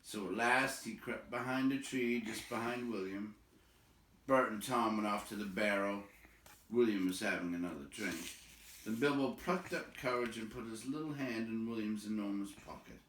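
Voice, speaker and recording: A man reading a storybook aloud as an expressive narrator. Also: lowish voice, normal speed, reverberant, recorded from a distance, poor audio. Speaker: storybook reader.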